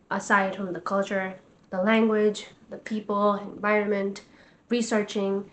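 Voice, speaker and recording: A woman's voice: medium pitch, a little hiss or hum. A woman in her twenties from Nepal, talking to camera in a casual vlog and sounding earnest and encouraging like a life coach.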